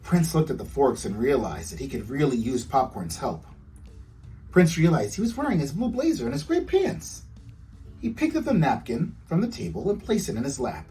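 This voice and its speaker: A man reading his children's storybook aloud with expressive, storytelling delivery. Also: medium pitch, moderate pace, recorded from a distance, soft background music, poor audio. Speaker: author reading own book aloud.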